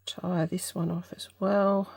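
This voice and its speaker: A woman's voice: on the low side, little pitch movement, creaky, darkish tone, husky, no echo. Congested woman thinking aloud over crafts. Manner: unhurried, tentative, thinking aloud. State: congested from allergy. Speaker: craft channel host. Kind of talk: casual craft tutorial vlog.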